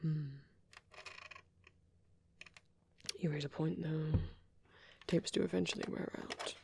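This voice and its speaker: A performer voicing a shy, gentle young vampire boyfriend in an ASMR roleplay, with a soft, hesitant, often whispered delivery. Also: medium pitch, fairly even intonation, gravelly, slight background noise. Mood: gently reluctant. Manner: hesitant, halting, murmured musing.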